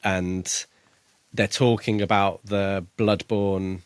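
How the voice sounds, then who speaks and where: A man co-hosting a casual book discussion podcast, thinking aloud in a tentative, halting way. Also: low voice, little pitch movement, smooth, no room sound. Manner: tentative, musing, halting delivery. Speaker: podcast co-host.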